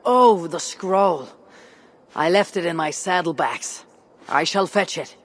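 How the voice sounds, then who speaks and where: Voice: mid-range voice, takes it slowly. An actor playing a composed, blunt Viking warrior in a video game, formal and direct in speech. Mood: polite, guarded. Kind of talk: dramatic video game acting. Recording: reverberant space, faint ambience.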